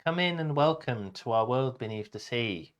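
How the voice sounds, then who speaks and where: A man narrating a rhyming children's story as a show host, with a playful, deliberate delivery. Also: mid-range voice, animated.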